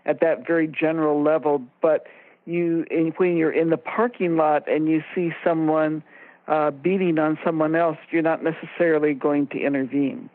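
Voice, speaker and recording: A woman co-hosting a radio discussion, explaining ideas in a measured way and stressing her key points. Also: deep voice, steady tone, dry recording. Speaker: radio show co-host and expert. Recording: narrow phone-like line, very dry.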